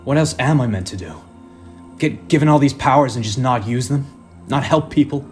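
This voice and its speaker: A man's voice: mid-range voice, a little fast, a little mellow, soft background music, close to an everyday voice. A man playing a stubborn, guilt-ridden superhero father in a radio play, earnest and defensive.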